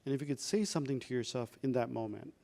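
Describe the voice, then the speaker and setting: A man, a doctor leading a workshop, guiding a reflection exercise with slow, calm prompting questions. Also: medium pitch, gravelly.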